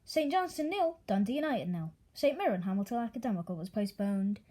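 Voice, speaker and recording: A woman's voice: mid-range voice, expressive, a little fast, dark tone. A young woman with an American accent, originally from Italy, reading out football results in a casual, offhand way with dry asides. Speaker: sports news presenter.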